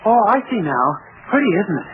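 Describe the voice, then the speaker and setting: An innocent, earnest young man who is oblivious and a little vain. He talks fast in an old radio comedy, through heavy static. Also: high-pitched, very animated. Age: 20s. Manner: guileless, earnest. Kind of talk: 1940s radio comedy acting. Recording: tinny, heavily noisy 1940s radio.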